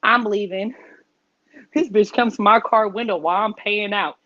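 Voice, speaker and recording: A woman guest on a podcast, telling a story in a casual, profane, animated way and acting out the voices she quotes. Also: medium pitch, moderate pace, muffled recording.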